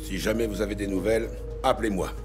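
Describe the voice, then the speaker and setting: An actor playing a brash, foul-mouthed bullying police detective in a French audio drama, sardonic and intimidating, with a gravelly voice. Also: male voice, lowish voice, fairly even intonation, fast, close to an everyday voice. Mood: pressing. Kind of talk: French audio drama acting and noir narration. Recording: loud music bed behind the voice.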